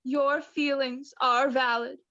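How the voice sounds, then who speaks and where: A woman's voice: high-pitched, level tone, bright, forceful tone, no echo, dull audio, heavily acted. A woman playing a doting New Age wife in a student radio play, affectedly soothing with a snippy edge. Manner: affectedly soothing therapy-speak, drawn-out.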